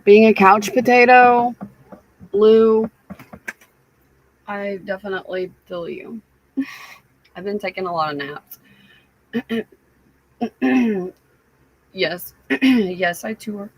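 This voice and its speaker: A woman live streamer chatting casually with her viewers, sounding stuffy and raspy, rambling with lots of fillers. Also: medium pitch, highs cut off, slight background noise. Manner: slow, rambling, many fillers.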